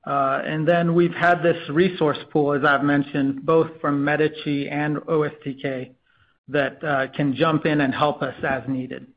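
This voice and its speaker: Tech exec guy doing a presentation, highish voice, lots of uhs, kinda muffled call sound. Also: flat delivery, a little slow. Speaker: company technology executive. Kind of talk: business slide presentation on earnings call.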